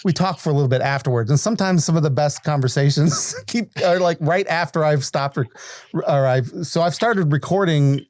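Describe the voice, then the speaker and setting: Higher-pitched American man, chatty podcast host. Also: brisk, a little mellow. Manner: rambling, chatty. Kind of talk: casual unscripted podcast sign-off. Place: small fenced-off area beside a living room at home.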